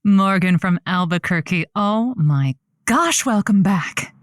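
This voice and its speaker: A woman playing a sympathetic, encouraging radio advice host on a comedy show, speaking in a deliberate, wry, supportive way. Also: medium pitch, animated, no echo, clean studio sound. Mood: welcoming.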